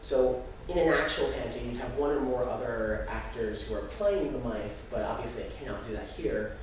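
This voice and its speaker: A young adult man giving a halting, explanatory student presentation live in a room. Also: mid-range voice, a crowd in the background. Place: echoey indoor room. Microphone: distant microphone. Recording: very echoey room, distant mic, noisy.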